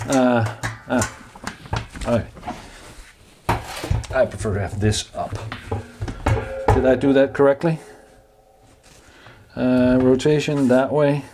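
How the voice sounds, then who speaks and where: A man's voice: mid-range voice, fairly even intonation, background noise. Danish-accented man chatting while fixing a bike. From Denmark. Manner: halting, many fillers and restarts, chatty asides. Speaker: DIY maker vlogger. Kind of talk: casual talk while repairing a bike.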